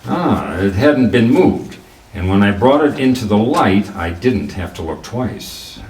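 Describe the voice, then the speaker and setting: An actor playing a wry, hardboiled private detective, narrating a noir radio drama in a clipped way. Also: male voice, on the low side, big pitch swings, measured pace. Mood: cool, suspicious. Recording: roomy, distant mic, faint noise.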